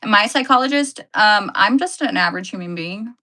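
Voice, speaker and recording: A woman's voice: mid-range voice, animated, a little fast, sharp tone. A woman hosting a casual live stream, chatting with viewers and answering their comments, laughing often and correcting herself as she goes. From Canada.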